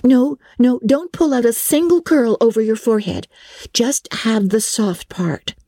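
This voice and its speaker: A woman's voice: a little high, measured pace, mellow, no echo, studio quality, pitched above the performer's own voice. A woman voicing a vivacious, bossy and affectionate teenage girl who fusses over her best friend in a storybook reading. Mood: bossy, fussing. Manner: gushing, decisive, affectionate.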